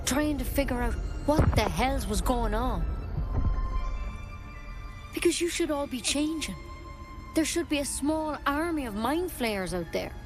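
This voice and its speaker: An actress playing an earnest, protective druid healer in a video game, plain-spoken and grave, with music behind her. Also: fairly high pitch. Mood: puzzled, earnest. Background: background music.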